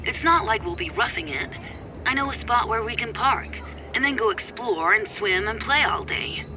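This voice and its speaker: Lady doing a bored pushy ex dad guy in an audiobook, quiet n dismissive, music behind. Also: a little high, expressive, moderate pace, voice pushed up, natural voice. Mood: bored, insistent. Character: bored, pushy ex-boyfriend and father, a man.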